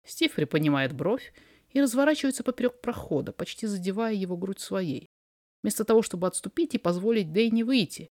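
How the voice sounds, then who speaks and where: A narrator reading a fiction story aloud in an expressive, dramatized way. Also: mid-range voice, brisk, no echo, studio quality. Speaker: podfic reader, narrator.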